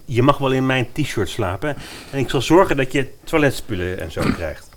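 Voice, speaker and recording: A man's voice: mid-range voice, expressive, normal speed, a little hiss or hum, close to an everyday voice. An actor playing a helpful, accommodating male volleyball player in a comic fairy-tale audio drama.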